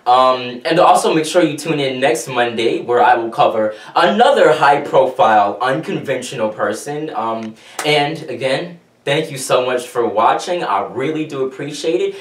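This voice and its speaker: A man hosting a casual video interview, upbeat and speaking straight to his viewers. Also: mid-range voice. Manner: upbeat, addresses the viewers directly. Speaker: host. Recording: echoey room, mic at a distance.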